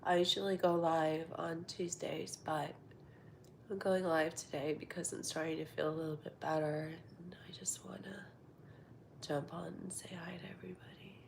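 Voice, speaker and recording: A woman with a cold, stuffed up and nasal, chatting casually to her livestream viewers from home in a soft voice that slips into half-whispers. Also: fairly low pitch, steady tone, normal speed, gravelly, a little hiss or hum. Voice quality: congested, nasal. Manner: soft-spoken, drifts into half-whispers, many fillers. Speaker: livestream host. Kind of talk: casual live-stream chat.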